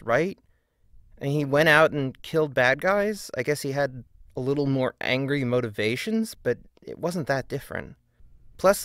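A man narrating a scripted video essay in a deliberate, argumentative way, posing rhetorical questions. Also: mid-range voice, no echo, studio quality.

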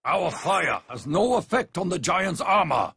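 Video game combat role: male soldier, extremely husky with heavy gravel. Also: high voice, sing-song, unhurried, bright, forceful tone, full character voice. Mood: grim, urgent. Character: male soldier fighting giant war machines. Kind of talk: scripted video game character lines.

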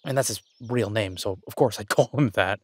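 A man's voice: lowish voice, a little fast, dry recording, studio quality. A man playing a caring, easygoing boyfriend in an intimate audio roleplay, talking in a hesitant, reassuring, conversational way. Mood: casual, easygoing. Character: caring, easygoing human boyfriend of a werewolf woman.

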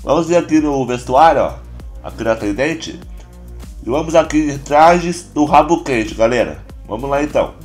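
A Brazilian man with a hoarse, congested voice, chatting casually through a game tutorial as a YouTuber. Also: mid-range voice, normal speed, soft background music. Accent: Brazilian Portuguese. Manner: relaxed, chatty, constant filler address to viewers. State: hoarse, stuffy nose and cough. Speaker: gaming YouTuber.

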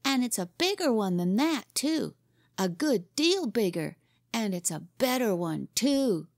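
A woman's voice: on the high side, sing-song, unhurried, dry recording, clean studio sound, pitched above the performer's own voice. A woman voicing a boastful, pompous small boy in a storybook, insistent and given to repeating himself. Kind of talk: storybook character reading.

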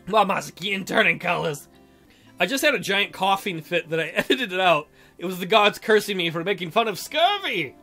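A man's voice: sits high, animated, normal speed, pushed and bright. A man hosting a let's play, reading a visual novel aloud and adding jokey, theatrical commentary. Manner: jokey, theatrical, breaks into silly mock voices. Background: game music.

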